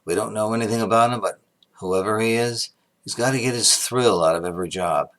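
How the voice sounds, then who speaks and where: Casting: man to play an earnest, none-too-quick inspector for radio mystery, lower register, blustering and exclamatory. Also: a bit bright, natural voice. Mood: explaining. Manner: emphatic, brusque, exclamatory. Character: blustery, earnest, slightly slow-witted male police inspector. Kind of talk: old-time radio mystery acting.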